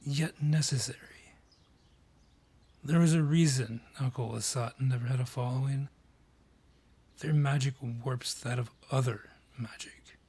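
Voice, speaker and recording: A man acts out a sardonic forest dryad in a fantasy audio drama. He is gruff and world-weary, with a breathy, husky voice. He takes his time over every phrase, like a reluctant keeper of old lore. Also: medium pitch, steady tone, a little slow, long pauses, dark tone. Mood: grave, storytelling. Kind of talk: fantasy audio roleplay acting.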